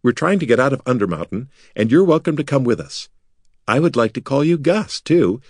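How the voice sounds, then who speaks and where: Guy doing a bossy lady wizard, pitched up, crisp n testy but kind, fantasy audiobook. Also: very animated, moderate pace, a little mellow, no echo, studio quality. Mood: cheerful. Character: brisk, bossy but kindly woman wizard.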